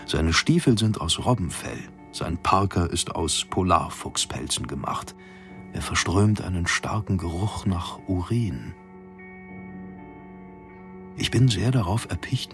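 An actor in a radio drama playing a formal, self-important expedition ship's captain reading out his logbook in measured diction. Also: low voice, gravelly, exaggerated voice.

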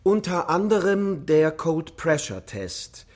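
An actor playing a precise military psychologist giving factual, clinical testimony in a radio drama. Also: male voice, on the high side, sing-song, slow, a little mellow, heavily acted.

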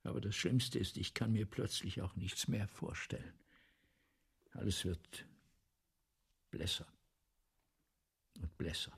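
An actor in a German radio play playing an ageing, excitable, tormented theatre man who repeats himself insistently in theatrical monologue. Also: low-pitched, slow pace, gravelly, pauses often, breathy edge, heavily acted. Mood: anguished. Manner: insistent, repeats phrases, swings from hush to declamation.

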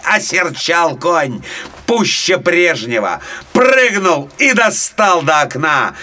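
A man narrating a folk tale for small children as an expressive, dramatic storyteller. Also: a little high. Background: faint music.

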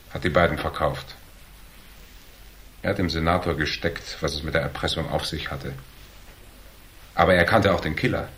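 A man acting in a German crime radio drama as a cool, shrewd investigator who lays out his deductions in a measured, dry, needling way. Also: low-pitched, a bit bright. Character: cool, shrewd hardboiled male investigator, calmly laying out deductions.

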